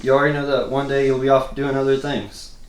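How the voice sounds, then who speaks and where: Teenage boy man reading: medium pitch, thoughtful, resigned, understated; script read-through in a roomy space. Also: flat delivery, fast, natural voice. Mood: pensive, subdued. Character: pensive teenage boy from New York, quietly resigned. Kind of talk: table read of a film script. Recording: roomy echo with faint noise.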